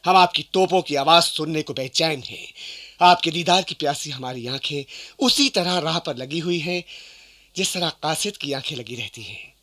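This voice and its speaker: High-pitched rebel army commander, fervent, courtly. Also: male voice, normal speed, bright, forceful tone. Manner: formal, rhetorical Urdu-flavoured address. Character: rebel army commander reading his rousing, courtly letter of alliance. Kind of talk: dramatised letter in a radio play.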